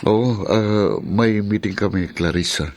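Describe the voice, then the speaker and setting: Brief: deep-voiced cheating husband, brusque. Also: male voice, slow. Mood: evasive. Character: husband Alberto, evasive and cheating. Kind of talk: radio drama acting.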